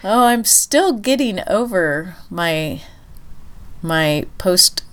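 A woman co-hosting a podcast, chatting casually and thinking aloud with many pauses and fillers, her voice stuffy and nasal from a cold. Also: fairly low pitch, lively intonation. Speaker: podcast co-host. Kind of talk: casual chat.

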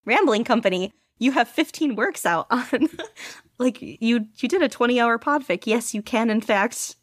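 A woman's voice: a little high, lively intonation, unhurried, no room sound, clean studio sound. A woman guest in a casual interview, talking eagerly about her hobby with many "like"s and laughs. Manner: gushing, lots of "like" and laughter. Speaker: guest podficcer.

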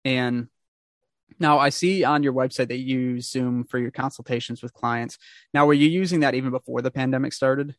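A man's voice: medium pitch, steady tone, moderate pace, dry recording, clean studio sound. A young man hosting a casual interview, chatty and upbeat, rambling with restarts, over a video call. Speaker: podcast host.

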